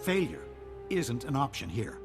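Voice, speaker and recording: Husky determined general, urgent orders, video game, long reverb, loud music. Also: male voice, medium pitch, very animated, measured pace. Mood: grave, urgent. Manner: commanding, urgent, terse. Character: grim, determined male army general in wartime.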